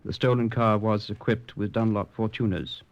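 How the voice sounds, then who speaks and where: An actor playing a methodical, deferential police evidence expert in an old radio drama, explaining precisely. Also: male voice, lowish voice, steady tone, normal speed, lightly acted. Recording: dull, lo-fi old radio recording.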